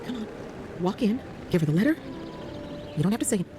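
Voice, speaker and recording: A woman's voice: medium pitch, expressive, quick pace, close to an everyday voice. A woman playing an impatient, coaxing townswoman in a video game. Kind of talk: video game character dialogue. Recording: game audio with clear ambient noise.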